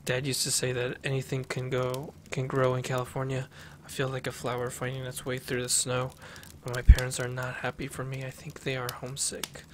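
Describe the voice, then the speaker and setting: Young man reading game text flatly. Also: mid-range voice, brisk, noisy background. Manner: even, steady reading with occasional restarts. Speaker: gameplay streamer. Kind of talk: reading game text aloud while playing. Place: small room at home. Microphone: headset with desk mic.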